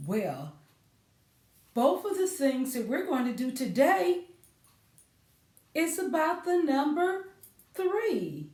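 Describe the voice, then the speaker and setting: A woman's voice: on the high side, a little mellow. A woman hosting a children's storytime, reading aloud and explaining things simply with questions for young listeners. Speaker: children's librarian storytime host. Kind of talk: children's storytime read-aloud and sing-along. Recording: echoey room.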